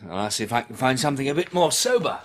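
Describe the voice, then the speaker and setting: A lively, wry British survivor. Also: male voice, mid-range voice, rapid-fire, a little hiss or hum. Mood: matter-of-fact. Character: practical, tough-minded, wry male survivor of an apocalypse. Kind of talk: British radio drama acting.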